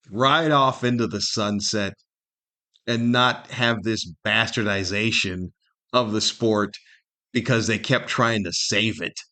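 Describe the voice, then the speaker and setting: A man's voice: on the low side, pushed and bright. A middle-aged man hosting a solo podcast, giving humorous, opinionated commentary with a grumbling, incredulous manner full of rhetorical questions. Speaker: podcast host.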